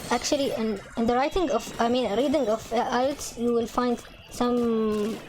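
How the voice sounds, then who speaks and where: A young woman with an Arabic accent, a native Arabic speaker, chatting casually over gameplay in halting English full of restarts and fillers. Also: on the high side, fairly even intonation, a little mellow, a little hiss or hum, poor audio. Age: young adult. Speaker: gaming channel host.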